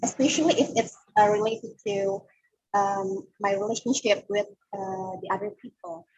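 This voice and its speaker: A woman's voice: medium pitch, low-quality recording. Hesitant young woman on a call. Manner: hesitant, many fillers and restarts. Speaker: webinar participant asking a question. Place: cafe. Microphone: video call.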